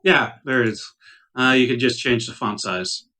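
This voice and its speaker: A man's voice: medium pitch, normal speed, sharp tone. A man chatting casually as a player in a tabletop roleplaying game.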